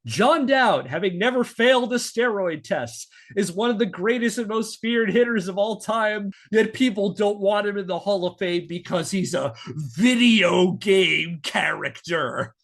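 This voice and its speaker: A man's voice: high-pitched, measured pace, a bit bright. A man hosting a podcast, telling a story in a playful, mock-serious way with casual banter.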